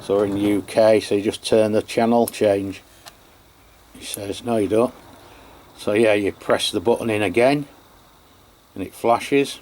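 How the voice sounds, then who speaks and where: An Englishman giving an unscripted product review and demonstration, chatty and matter-of-fact, with a halting delivery. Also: on the low side, fairly even intonation, darkish tone, slight background noise. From England. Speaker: radio reviewer.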